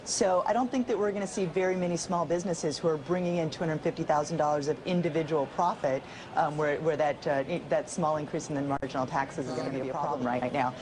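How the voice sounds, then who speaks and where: A woman in her thirties makes an economic case fluently and with an upbeat push over a remote link. Her pitch sits mid-range. The feed carries a noticeable noise bed. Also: quick pace, few pauses. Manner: fluent, upbeat policy explaining. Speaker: think-tank economist guest. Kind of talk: live TV interview. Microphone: remote studio camera.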